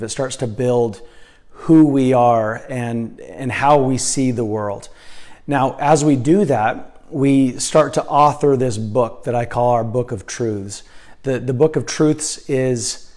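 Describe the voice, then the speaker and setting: A hoarse man speaking as a life coach in a casual video blog. His voice is dark and mellow at an ordinary middle pitch, and he talks earnestly, restarting his sentences and filling pauses with "um". He records indoors, where the room adds a slight echo. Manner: earnest, many fillers and restarts. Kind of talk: casual vlog monologue.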